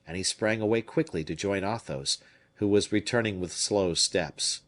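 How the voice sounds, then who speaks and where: A man narrating an audiobook with expressive storytelling. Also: fairly low pitch, normal speed. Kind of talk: scripted narration.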